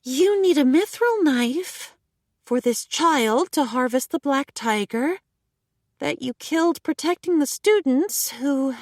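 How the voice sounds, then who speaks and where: Stern elf guild master, read by a woman; high, animated and unhurried, dry recording. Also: no echo. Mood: commanding. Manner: commanding, clipped.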